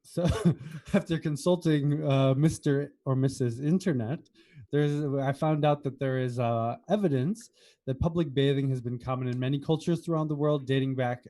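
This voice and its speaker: This is an American man with a soft, mellow tone that stays relaxed and unforced. He chats casually as a podcast co-host, with a wry streak and a habit of teasing. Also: mid-range voice, normal speed. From United States. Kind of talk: casual chat.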